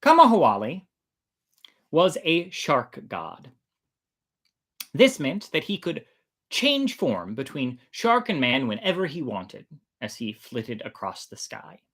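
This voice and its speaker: An androgynous-sounding storytelling host with a very lively, swinging intonation. The delivery is casual and enthusiastic, explaining things conversationally and leaning on words for emphasis. The pitch sits in a middle register but moves around a great deal. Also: slow pace, pauses often.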